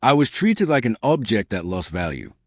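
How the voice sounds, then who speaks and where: A man's voice: on the low side, big pitch swings, no echo, studio quality. Man voicing a formal, weary older alien bride. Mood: weary, guarded. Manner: formal, terse, measured.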